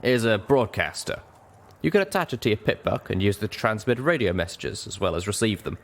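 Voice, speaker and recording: A man's voice: fairly low pitch, expressive, brisk, gravelly, a bit bright. A man voicing a proud, tough female griffin mercenary leader in a story reading. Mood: businesslike.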